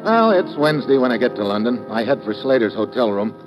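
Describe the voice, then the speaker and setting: A man's voice: mid-range voice, very animated, fast talker, lo-fi sound, lightly acted. An actor playing a tough, wry American agent who narrates his case in a clipped, dry manner, in 1950s radio adventure style. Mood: businesslike. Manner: clipped, hard-boiled, dry. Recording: vintage radio recording with music behind.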